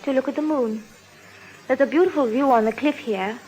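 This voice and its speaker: An actress playing an alluring, romantic and quietly scheming young island woman in a 1950s radio drama. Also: high voice, a little fast, lightly acted, rough recording. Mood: cool, alluring.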